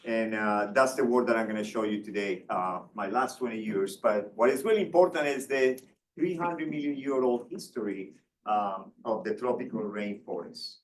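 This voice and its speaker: A man's voice: on the low side, moderate pace, far from the mic, slight background noise. Colombian man lecturing in an echoey room. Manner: hesitant, many fillers and restarts. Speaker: guest lecturer. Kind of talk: university seminar lecture.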